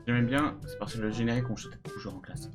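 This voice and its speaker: A young man talks quickly in a medium-pitched voice as a casual co-host. His delivery is slangy and argumentative, often restarting phrases. Music sits behind the talk. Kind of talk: casual banter, commenting on cartoons.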